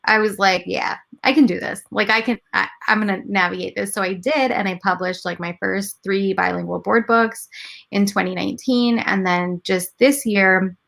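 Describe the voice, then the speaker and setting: A woman in her thirties whose first language is Gujarati talks in a relaxed interview, acting out her own inner thoughts as she goes. Her voice sits at a middle pitch with plenty of vocal fry. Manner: animated storytelling, quoting her own thoughts. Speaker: guest, entrepreneur and mother. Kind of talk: casual interview conversation.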